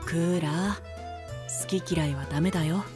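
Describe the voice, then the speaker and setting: Caring, responsible young twin played by a man, mild and gentle, anime audio drama with faint music underneath. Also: fairly high pitch, normal speed, natural voice. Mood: mildly chiding, helpful. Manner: gentle, polite, casual. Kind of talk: Japanese anime-style voice drama.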